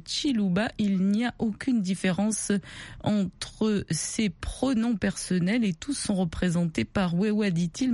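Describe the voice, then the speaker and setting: A woman's voice: mid-range voice, darkish tone, no room sound. A woman hosting a call-in radio show in French, reading listener comments and probing callers with follow-up questions. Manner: probing, rephrases her questions, laughs easily.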